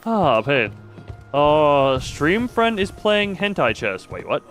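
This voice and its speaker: Animated, higher-voiced man streaming a game. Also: takes it slowly, soft background music. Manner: playful, rambling, breaks into exclamations and silly sound effects. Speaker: live streamer. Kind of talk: casual live gameplay commentary.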